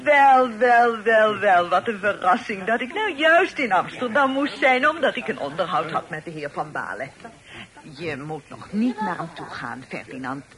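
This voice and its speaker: An actress playing an exuberant, bossy, scatterbrained well-to-do aunt in a Dutch radio play, chattery and repeating herself. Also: female voice, medium pitch, animated, moderate pace, crowd noise, close to an everyday voice. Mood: effusive.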